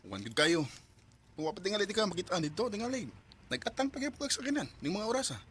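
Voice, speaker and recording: A man's voice: high-pitched, very animated, fast, a bit bright, a little hiss or hum, exaggerated voice. An actor playing an eager, earnest separated father courting a woman in a radio drama, with a Cebuano accent. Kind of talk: Bisaya radio drama acting.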